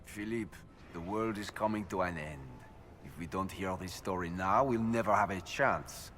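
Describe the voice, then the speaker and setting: A man's voice: low-pitched, moderate pace, a bit bright, in a large hall, a little hiss or hum. An actor playing an earnest, persuasive, dramatic man at a court party in a video game. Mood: pleading. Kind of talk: video game character acting.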